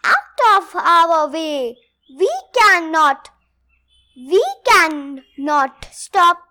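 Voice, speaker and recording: Little girl doing a read along of a rhyming kids book, really slow n bright, expressive short phrases. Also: extremely high pitch. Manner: expressive, deliberate word-by-word reading in short phrases.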